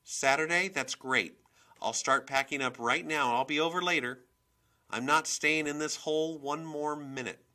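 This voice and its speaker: A man voicing a volatile, domineering mother in a storybook reading, with a sharp, scolding, emphatic manner. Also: on the high side, normal speed, voice pushed up. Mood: brash, defiant.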